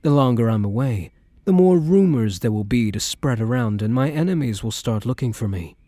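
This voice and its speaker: A soft, dark-toned young crime boss acted by a man, talking tenderly to a partner in a boyfriend roleplay. His delivery is measured and protective, recorded very dry and intimate. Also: mid-range voice, expressive, dry recording, natural voice. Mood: earnest, regretful. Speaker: roleplay voice performer. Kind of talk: boyfriend ASMR audio roleplay.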